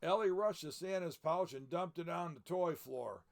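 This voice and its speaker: An older man reads a children's picture book aloud as Santa. His voice is gravelly and a little high for a man. He drifts between storytelling and rambling, folksy asides to his listeners. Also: moderate pace. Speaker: storyteller in the persona of Santa Claus.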